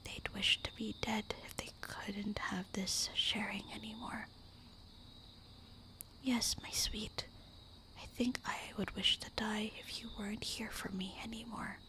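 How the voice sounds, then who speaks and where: A woman voicing a tender, melancholy immortal vampire wife in a breathy, intimate whispered ASMR roleplay. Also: medium pitch, level tone, pushed and bright. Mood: loving, wistful. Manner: slow, intimate, wistful murmuring.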